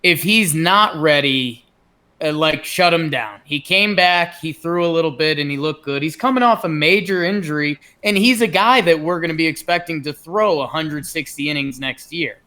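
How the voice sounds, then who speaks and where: A man with a bright, forward voice sitting a little high in pitch. He works through sports opinions as a podcast co-host, informal and thinking aloud as he goes. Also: normal speed. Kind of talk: casual sports analysis.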